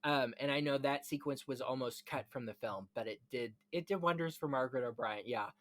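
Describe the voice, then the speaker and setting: A man hosting a film podcast, talking in an animated, emphatic and chatty way. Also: medium pitch, brisk. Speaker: podcast host. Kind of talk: theatrical scripted intro, then casual film chat.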